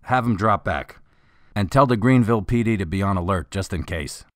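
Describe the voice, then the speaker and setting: An actor playing a practical, wearily doubtful police officer in a radio drama, with a casual, blunt, wry delivery. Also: male voice, lowish voice, animated, brisk, dry recording, studio quality, natural voice. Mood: exasperated.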